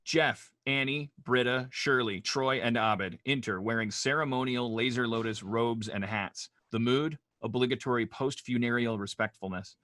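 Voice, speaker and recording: A man's voice: mid-range voice, moderate pace, hardly any pauses, pushed and bright, no room sound, clean studio sound. A man hosting a virtual table read in a casual, wry, rambling way and reading out stage directions. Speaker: host introducing cast and reading stage directions.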